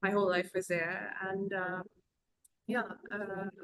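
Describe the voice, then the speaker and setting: A woman from Zambia talks as a podcast guest in a loose, rambling way, pausing often as she tells her story. Her voice sits in an ordinary middle pitch. She comes through a video call. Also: little pitch movement, a bit bright. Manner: rambling, conversational storytelling with many pauses. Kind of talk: casual podcast conversation.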